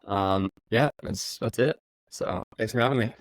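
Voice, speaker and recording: A man's voice: lowish voice, a little slow, dry recording. A man with a mix of Southern and Midwestern accent, a race car driver guest telling laid-back stories. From Virginia. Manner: easygoing, laughs and tells anecdotes. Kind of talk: casual interview chat.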